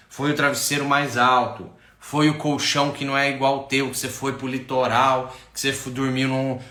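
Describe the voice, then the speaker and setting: A man giving a casual spoken health talk, animated, stacking lists and rhetorical questions with frequent self-corrections. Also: medium pitch, echoey room. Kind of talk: casual spoken lecture to camera.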